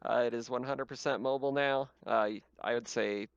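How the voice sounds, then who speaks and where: A man's voice: medium pitch, steady tone, brisk, creaky, clean tone, no echo, muffled recording. A man speaking casually as game master, improvising narration and explaining rules, often with a chuckle.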